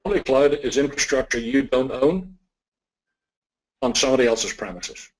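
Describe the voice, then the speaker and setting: Man, Irish accent, medium pitch, technology expert, question-and-answer delivery, measured, deliberate, emphatic repetition. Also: darkish tone, poor audio. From Ireland.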